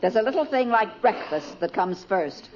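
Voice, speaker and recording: An actress playing a bossy, catty middle-aged wife in an old radio sitcom. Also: female voice, fairly high pitch, big pitch swings, normal speed, darkish tone. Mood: bossy scolding. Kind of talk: 1940s-50s radio sitcom acting. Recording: muffled, faintly noisy vintage radio recording.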